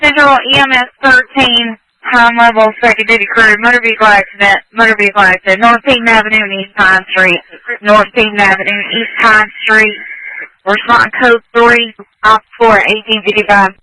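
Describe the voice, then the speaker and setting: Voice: mid-range voice, steady tone, a little fast, sharp tone, no echo, rough recording. An emergency dispatcher speaking in clipped, procedural calls over a two-way radio.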